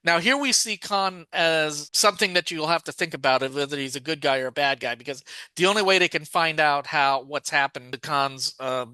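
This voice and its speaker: A man with a very bright, forceful voice that stays crisp and resonant, talking casually as a podcast co-host. He walks through a story's plot step by step, correcting himself as he remembers details. The sound is close and dry. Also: on the high side, normal speed, no echo. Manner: recaps plot at length, thinking aloud with restarts.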